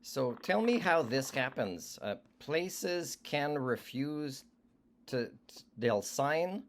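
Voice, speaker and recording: A man speaking as an aggrieved customer in a phone complaint, argumentative and halting, often trailing off. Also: fairly high pitch, sing-song. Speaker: customer on a service call. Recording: noisy recorded phone call, clicks.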